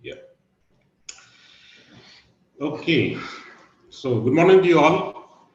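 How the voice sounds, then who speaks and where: A middle-aged man with a husky, low voice giving an online guest lecture, pausing often with fillers and "okay". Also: expressive, a little mellow, echoey room, lo-fi sound. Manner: hesitant, many fillers and "okay". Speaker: guest speaker. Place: video call.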